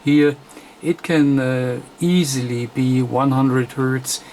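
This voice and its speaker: Slow, halting man with a voice. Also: mid-range voice, fairly even intonation, a little mellow, slight background noise. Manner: halting, hesitant delivery in short broken phrases. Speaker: radio repair technician presenting a tutorial. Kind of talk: unscripted bench demonstration commentary.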